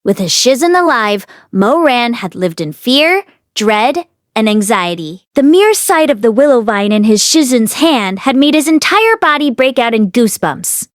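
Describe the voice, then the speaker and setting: High-voiced woman narrating a story. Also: very animated, normal speed, no room sound, clean studio sound. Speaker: audiobook reader and read-along host. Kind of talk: expressive scripted story narration, reading a fantasy novel aloud.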